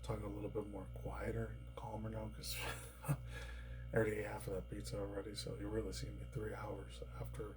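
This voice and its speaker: A man rambling through casual news commentary, swearing often and laughing between remarks, his voice slightly raspy and tired. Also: on the low side, monotone, a little fast, over music. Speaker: commentary YouTuber.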